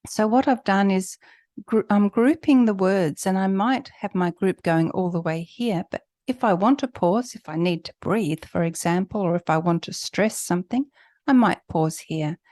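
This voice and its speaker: A woman's voice: medium pitch, moderate pace, darkish tone, no echo, clean studio sound. A woman teacher giving an instructional lesson on pronunciation, coaching step by step and emphasizing example words. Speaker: English test preparation teacher.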